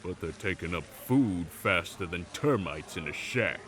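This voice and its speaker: An actor playing a gruff, hard-nosed swamp man with a temper, curt, grumbling and folksy, in an audio roleplay. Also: low voice, lively intonation, measured pace, fairly bright tone. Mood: sour, accusing. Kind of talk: audio roleplay acting, dramatic dialogue.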